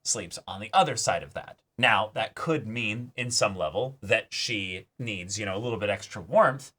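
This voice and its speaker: A man's voice: lowish voice, expressive, moderate pace, pushed and bright. A man co-hosting an anime reaction show, talking through his thoughts in a casual, emphatic, analytical way.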